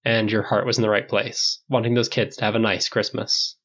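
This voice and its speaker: A man voicing a dignified older man who speaks in a measured, dry, disapproving way. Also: lowish voice, level tone, a bit bright, husky, muffled recording. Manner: measured, stern.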